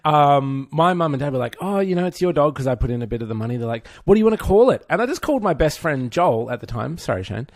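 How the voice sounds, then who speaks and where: A man's voice: on the high side, very animated, quick pace, hardly any pauses, a little mellow, no room sound, studio quality. A man co-hosting a podcast in casual comedic chat, bantering with a mock-earnest, joking manner. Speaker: podcast co-host.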